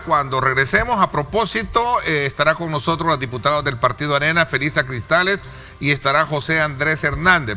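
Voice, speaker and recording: Bright-voiced Salvadoran male host. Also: on the high side. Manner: formal, wordy, halting. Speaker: talk show host. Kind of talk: broadcast political interview.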